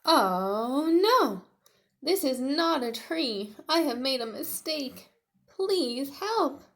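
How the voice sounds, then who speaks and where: A woman's voice: sits high, big pitch swings, drawn-out words, a bit bright, pitched above the performer's own voice, exaggerated voice. A woman voicing a tiny, earnest young male T. rex in a children's storybook, with emphatic, childlike delivery. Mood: dismayed. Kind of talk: children's storybook read-aloud.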